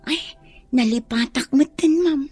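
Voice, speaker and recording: An actress playing a forgetful, flustered young house helper in an Ilocano radio drama, with an Ilocano accent. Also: female voice, high-pitched, unhurried, mellow, soft background music. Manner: anxious, flustered.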